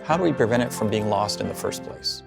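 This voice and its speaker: Fast-talking man with a gravelly voice. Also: lowish voice, expressive, over music. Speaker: food waste consultant. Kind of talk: documentary interview.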